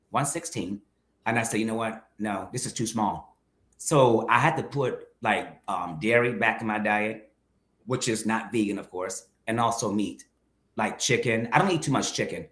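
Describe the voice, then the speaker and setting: A man's voice: medium pitch, fairly bright tone. 50s guy chatting candidly, thoughtful, kinda echoey room. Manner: reflective, speaks to viewers directly. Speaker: guest, mukbang creator. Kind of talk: candid conversational interview. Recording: roomy echo.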